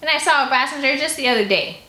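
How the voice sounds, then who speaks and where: A woman voicing a gruff, scornful country woman with a put-on rustic, slightly piratical accent in an audiobook. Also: high voice, fast, pushed and bright, echoey room, pitched above the performer's own voice. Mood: defensive.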